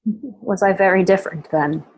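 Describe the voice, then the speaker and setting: A woman's voice: mid-range voice, moderate pace, lightly acted, low-quality recording. A woman acting an anxious, weary Roman princess, earnest and guarded, in an amateur radio drama. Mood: weary, tender.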